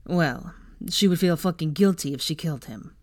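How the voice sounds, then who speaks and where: A woman narrator acts out a protective teenage brother from a storybook. He is blunt and a bit muttering, in a lowish voice with a dry sound. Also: normal speed, dry recording. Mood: alarmed, grim. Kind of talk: audiobook character voice.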